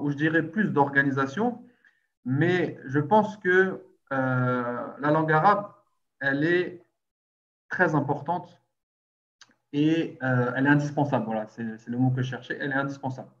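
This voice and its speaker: A man hosting a relaxed talk, speaking in an easy conversational way and putting questions to his guest. His voice sits a little high for a man and comes through a dull, lo-fi recording. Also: moderate pace. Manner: conversational, explains then asks questions. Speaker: host. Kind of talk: casual chat.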